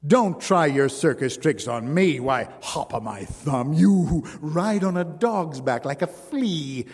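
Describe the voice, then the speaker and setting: A man's voice: fairly high pitch, lively intonation, takes it slowly, a little mellow, breathy edge, full character voice. A man reading a story aloud, voicing a boastful, contemptuous circus bareback rider who bellows his insults. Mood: bellowing. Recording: long hall reverb.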